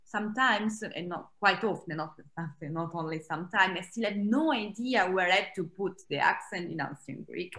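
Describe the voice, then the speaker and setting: An Italian-accented woman in her thirties talks on a video call from a small room at home. She is animated and expressive and often restarts her sentences. Her voice sits at an ordinary pitch for a woman. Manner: animated, gestures a lot, laughs, many restarts. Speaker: author and Greek scholar. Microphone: laptop webcam on a video call.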